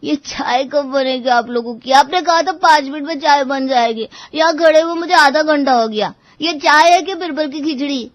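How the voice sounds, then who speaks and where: A woman voicing a whiny, reluctant young boy who grumbles about errands in an animated moral story. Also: sits high, moderate pace, hardly any pauses, no echo, close to an everyday voice. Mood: impatient, whiny. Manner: grumbling, protesting.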